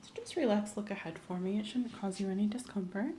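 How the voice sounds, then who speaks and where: Soft mellow female doctor, acted by a woman, roomy. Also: mid-range voice, slight background noise, lightly acted. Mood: calm, attentive. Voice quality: soft, often whispery. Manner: gentle, measured step-by-step instructions. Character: calm, attentive female doctor giving an exam. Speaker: ASMR performer playing a clinician. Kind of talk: soft-spoken ASMR medical roleplay.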